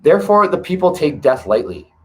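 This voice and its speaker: A man slowly reading an old philosophical text aloud in a measured, pausing way, stopping now and then to add casual remarks of his own. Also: fairly high pitch, big pitch swings, darkish tone. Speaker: reader of a classic text. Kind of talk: slow reflective reading with asides.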